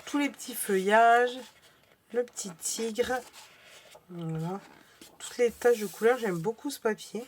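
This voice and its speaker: A woman vlogger with a slightly hoarse voice, chatting casually through a crafting haul with many fillers and restarts. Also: a little high, unhurried, pauses often, a little hiss or hum.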